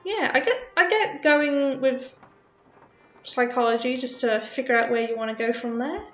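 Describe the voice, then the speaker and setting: A woman's voice: high-pitched, moderate pace, a bit bright, echoey room, lo-fi sound, faint music behind. Nervous, rambling woman streamer. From UK, raised partly in Australia. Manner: nervous, rambling, self-conscious. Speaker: game streamer. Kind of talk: casual chat with viewers while playing.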